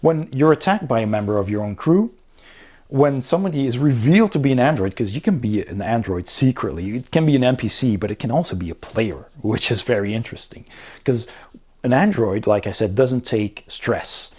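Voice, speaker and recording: A man's voice: medium pitch, animated, a little fast, a little mellow. A man giving a casual spoken review, explaining things informally as he walks through a rulebook. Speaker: board game reviewer.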